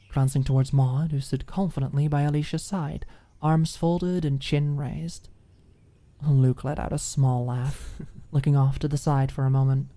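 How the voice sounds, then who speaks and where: Mellow-voiced woman narrating an audiobook. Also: very deep voice. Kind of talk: scripted story narration.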